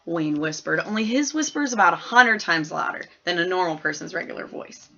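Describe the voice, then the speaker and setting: A woman's voice: lowish voice, animated, brisk, vocal fry, pushed and bright, lo-fi sound, heavily acted. A woman voicing a chatty, frank ten-year-old girl who narrates a storybook in folksy, colloquial, rambling style. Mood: chatty, earnest. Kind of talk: first-person storybook read-aloud in character.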